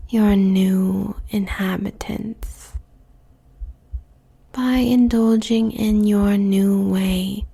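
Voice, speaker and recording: Slow, whispering seductive demon queen, played by a woman. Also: mid-range voice, warm tone, full character voice. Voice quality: breathy whisper. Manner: slow, teasing, drawn-out phrases. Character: seductive, possessive succubus demon queen, gloating. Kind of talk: intimate whispered audio roleplay monologue.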